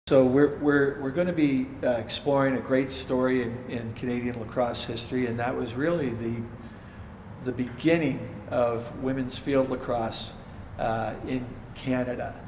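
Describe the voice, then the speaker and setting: A man's voice: medium pitch, little pitch movement, normal speed, a little mellow, faint music behind. Casual male host in an echoey room. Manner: casual, stumbling on opening words. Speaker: interviewer, host. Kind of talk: interview hosting. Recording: echoey room, long reverb, faint noise.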